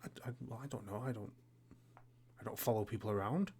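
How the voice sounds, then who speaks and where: A man's voice: fairly low pitch, a little fast, a little mellow, husky. A man playing a plainly dressed, defensive villager who stammers, repeats himself and avoids answering. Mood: flustered, guarded. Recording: noisy hobby recording with clicks.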